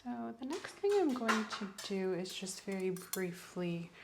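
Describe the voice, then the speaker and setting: A soft-spoken woman playing a medical examiner in an ASMR roleplay, gently giving instructions and encouragement. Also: medium pitch, animated, moderate pace, very noisy.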